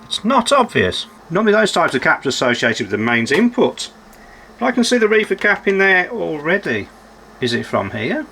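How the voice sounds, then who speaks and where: A man's voice: high voice, very animated, moderate pace, sharp tone, slight background noise. A man giving unscripted running commentary as he works on a repair, musing aloud and putting questions to himself with dry, understated humour. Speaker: electronics repairer presenting a repair video.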